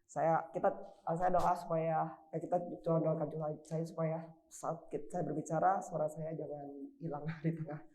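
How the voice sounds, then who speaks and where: A woman's voice: sits low, level tone, normal speed, few pauses, mellow. A woman preaching a sermon in church, casual and full of fillers, her voice strained by a cough. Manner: informal, with frequent fillers and restarts.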